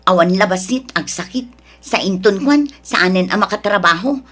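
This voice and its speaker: An Ilocano woman acting a fed-up, complaining wife in an audio drama, in a husky voice. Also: mid-range voice, animated, moderate pace, fairly bright tone. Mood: exasperated.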